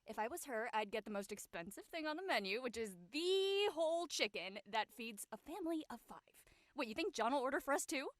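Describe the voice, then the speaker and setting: A brash teenage girl, acted by a woman, teasing and gossipy in slangy drama dialogue. Her voice is high and bright, very animated and recorded dry and close. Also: brisk, hardly any pauses, no echo. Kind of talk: audio drama acting, casual dialogue.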